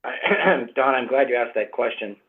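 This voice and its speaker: A man with a cold-roughened, husky voice, explaining things in a measured way as a guest doctor, with frequent fillers. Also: medium pitch, fairly even intonation. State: hoarse from a cold. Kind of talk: expert explanation in interview. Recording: tinny phone-like line.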